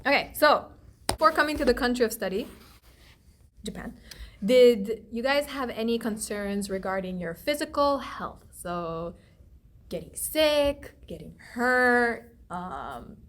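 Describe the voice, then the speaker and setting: A woman's voice: fairly high pitch, animated, measured pace, fairly bright tone. A woman speaking as an informal video host, explaining things politely and a little hesitantly. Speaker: host interviewer. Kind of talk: informal video hosting and interview.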